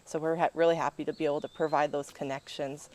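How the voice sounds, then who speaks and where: A woman's voice: deep voice, level tone, fast talker, darkish tone, a little hiss or hum. A woman being interviewed, talking as a volunteer program coordinator. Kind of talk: on-camera interview.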